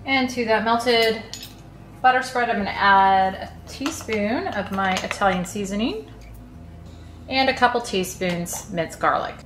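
Chatty cooking-channel host, a woman, medium pitch, easygoing, recorded in an echoey room. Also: expressive, a little slow, a bit bright. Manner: chatty, friendly, easygoing explanations. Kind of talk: casual recipe walkthrough voice-over.

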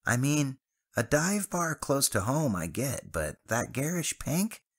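A man voicing an excitable young male friend in a high, strained voice. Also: slow, slightly rough, full character voice. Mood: hushed, firm. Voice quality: high strained voice, much raised.

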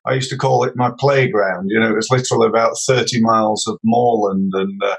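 A man's voice: on the low side, fairly even intonation, a little fast, vocal fry, slightly rough. Yorkshire man telling his story. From Sheffield, England. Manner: hesitant, many fillers and restarts. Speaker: podcast guest. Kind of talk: casual interview storytelling.

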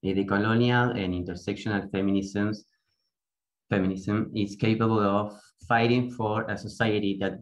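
A young adult from Argentina with an Argentine Spanish accent, giving an earnest, deliberate conference talk over a video call from a small home room, through an earphone mic. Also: medium pitch, low-quality recording. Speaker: activist speaker.

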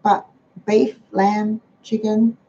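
An older Australian woman talks in a homely, chatty way, her voice hoarse and croaky. The tone is mellow and dark rather than bright. It comes through a dull, lo-fi webcam with a faint hiss underneath. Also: level tone, drawn-out words. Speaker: host of a frugal living livestream. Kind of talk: casual livestream talk. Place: home dining room. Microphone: webcam on a computer. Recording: dull low-fi webcam sound with faint noise.

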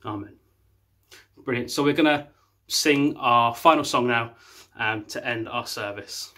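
A man's voice: mid-range voice, a little slow, pushed and bright. A young man, a youth worker, giving earnest closing remarks and a prayer from a small room at home, with fillers and restarts.

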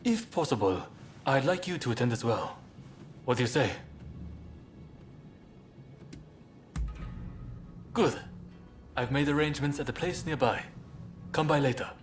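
An actor playing a composed, diplomatic young samurai leader in a video game cutscene, speaking in a measured, polite, thoughtful way. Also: male voice, medium pitch, animated, faint music behind, natural voice. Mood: calm, persuasive.

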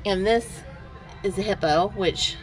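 A woman's voice: mid-range voice, measured pace, soft background music, some chatter behind, low-quality recording. A woman giving a chatty, upbeat walk-through of her booths in a large indoor antique mall, recorded on the camera she carries. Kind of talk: walk-through tour vlog.

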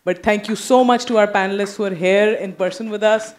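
Woman moderating a panel, brisk. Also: medium pitch, fairly even intonation, a little mellow, a little hiss or hum. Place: conference stage. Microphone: handheld microphone.

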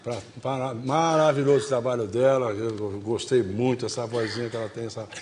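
A man's voice: medium pitch, steady tone, fairly quick, a little mellow, a little hiss or hum. A man in his seventies with a Brazilian Portuguese accent, hosting a casual, folksy chat in a rambling, repetitive way full of diminutives. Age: 70s. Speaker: television show host. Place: TV studio stage before an audience. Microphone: lapel mic.

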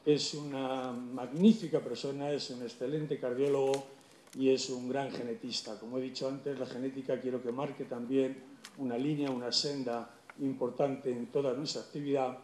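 Mellow man introducing a speaker. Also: mid-range voice, level tone, normal speed. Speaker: event host introducing a speaker. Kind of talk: formal introduction. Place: stage in a hall. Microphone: podium microphone. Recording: echoey hall, faint noise.

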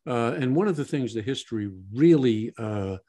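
A man's voice: medium pitch, lively intonation, moderate pace, mellow. A man co-hosting a podcast discussion, emphatic and given to repeating key phrases. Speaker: podcast co-host.

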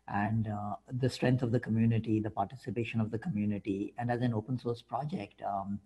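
Casting: middle-aged man, mellow tone, informal and hesitant, speaking on a video call. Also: lowish voice, fairly even intonation, a little fast, breathy edge. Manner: hesitant, often ends phrases with "right?". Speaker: guest speaker, open source project founder. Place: indoor room, video call. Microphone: wired earphones on a video call.

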